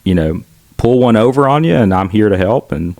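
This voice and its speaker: Middle-aged man, Southern accent, lowish voice, dry close sound, outgoing and chatty. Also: expressive, fairly quick, a little mellow, no echo. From United States. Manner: outgoing, chatty, laughs while telling stories. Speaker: podcast guest. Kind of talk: casual conversational storytelling.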